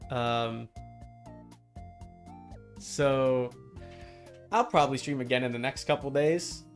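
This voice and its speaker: A man's voice: mid-range voice, takes it slowly. A man live streaming a game, talking casually and bluntly with exasperated, sweary asides. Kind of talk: casual gaming stream chat. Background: game music.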